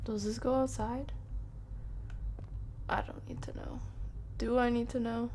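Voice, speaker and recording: A woman's voice: on the high side, flat delivery, measured pace, a little mellow, slight background noise. A woman doing casual, chatty live commentary as she streams a horror game, reacting and often muttering to herself.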